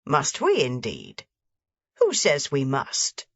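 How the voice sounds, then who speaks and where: A woman voicing a gruff, stern old grandfather in a storybook reading. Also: a bass voice, huge pitch swings, slow, no room sound, pitched below the performer's own voice, exaggerated voice. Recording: dry, slightly dull recording.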